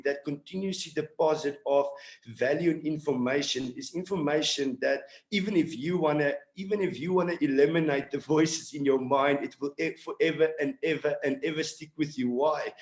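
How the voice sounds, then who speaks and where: A man from South Africa with an Afrikaans accent, a native Afrikaans speaker, giving an earnest, emphatic motivational sales pitch as a team leader on an online call. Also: darkish tone. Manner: earnest, exhorting, repetitive and emphatic. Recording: roomy, echoey online call audio.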